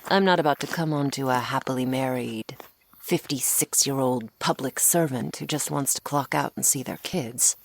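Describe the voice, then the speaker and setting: A woman's voice: rumbling low, moderate pace, creaky, heavily acted. A woman playing a flirty, sardonic female vampire in an ASMR roleplay, taunting the listener playfully up close. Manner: sardonic, playful, taunting, with pet names and sighs.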